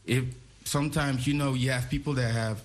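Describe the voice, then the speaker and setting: A man's voice: mid-range voice, fairly even intonation, normal speed. A man hosting a casual interview, asking rambling questions that often restart midway. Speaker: interviewer host.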